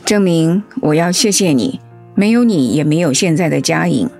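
A woman's voice: deep voice, lively intonation, normal speed, vocal fry, darkish tone, faint music behind. A woman playing a caring, frank older-sisterly friend in a radio drama, speaking sincerely and earnestly. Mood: apologetic, sincere. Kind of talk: Mandarin radio drama acting.

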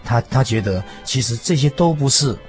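A man's voice: mid-range voice, expressive, normal speed, darkish tone. Male preacher explaining scripture, music behind. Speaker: preacher, interview guest.

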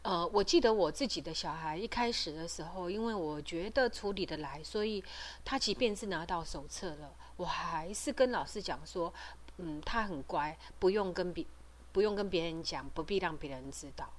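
A middle-aged woman with a Taiwanese Mandarin accent giving informal advice as a host, explaining things hesitantly with frequent pauses and trailing particles. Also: fairly low pitch. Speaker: podcast host and parent advocate. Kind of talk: informal advisory talk.

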